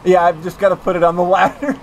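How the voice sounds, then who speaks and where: A man in his late thirties streaming a racing game with friends, chatting casually and getting loud and excited as he plays. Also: high-pitched, quick pace, a crowd in the background. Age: 30s. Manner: excitable, yells and laughs at the game. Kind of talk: casual gaming chat.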